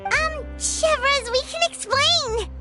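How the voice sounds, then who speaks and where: A woman voicing a small, childlike, excitable fairy companion in a video game, with a squeaky voice. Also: slow. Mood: flustered. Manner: refers to herself in the third person, exclaiming. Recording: music behind the voice.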